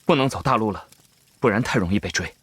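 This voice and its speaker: An actor playing a wary young Taoist leader in an audio drama, giving clipped, urgent, commanding lines. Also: male voice, fairly low pitch, expressive, fast, fairly bright tone, breathy edge, no echo, heavily acted. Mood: grim, resolute. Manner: clipped, terse orders. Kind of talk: Chinese audio drama acting.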